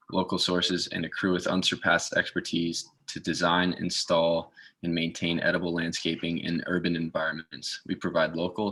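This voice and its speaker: A man's voice: sits low, pushed and bright, slightly rough, low-quality recording. A man from the northeastern United States speaking as a guest in an informal interview, explaining things. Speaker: guest speaker, garden maintenance assistant.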